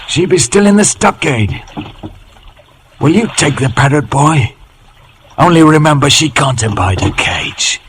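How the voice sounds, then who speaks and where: An actor playing a wily, wheedling one-legged old pirate with a stage West Country accent in vintage radio drama style. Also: male voice, a little high, lively intonation, a little slow, long pauses. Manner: wheedling, mock-deferential, swaggering. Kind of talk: 1930s-40s radio acting. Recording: noisy old radio broadcast recording.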